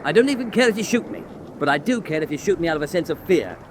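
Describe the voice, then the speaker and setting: An actor playing a defiant, weary German U-boat captain in a radio drama, clipped and commanding. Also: male voice, animated, quick pace, lightly acted. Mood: bitter, defiant. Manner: clipped, commanding, bitterly stoic. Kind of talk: vintage-style radio drama acting. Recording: noisy track with vehicle-like rumble.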